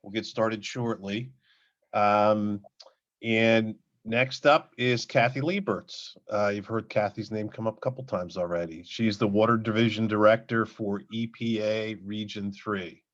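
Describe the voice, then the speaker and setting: A man's voice: fairly low pitch, fairly even intonation, a little slow. A man moderating a virtual panel, informal and brief with fillers. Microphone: video call. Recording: dull lo-fi video call audio.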